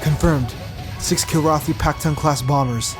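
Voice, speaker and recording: A man's voice: on the high side, unhurried, over music, close to an everyday voice. A man playing a reluctant, sardonic human fighter pilot with an everyday delivery in a sci-fi audio drama. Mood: focused.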